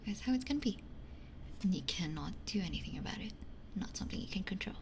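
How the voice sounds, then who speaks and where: A woman's voice: mid-range voice, animated, quick pace. Whispering woman in an ASMR chat. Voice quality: breathy, unvoiced whisper. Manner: reflective, conversational, repeats phrases for emphasis. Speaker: streamer. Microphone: close binaural ear microphone.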